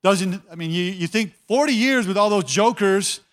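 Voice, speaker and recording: A man's voice: high voice, moderate pace, fairly bright tone. A man preaching a sermon as a pastor, talking conversationally, repeating his words and often telling listeners to watch.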